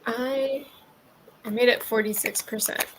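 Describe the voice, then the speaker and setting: A woman chatting casually in a group call, playful and quick to giggle. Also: fairly high pitch, steady tone, background noise.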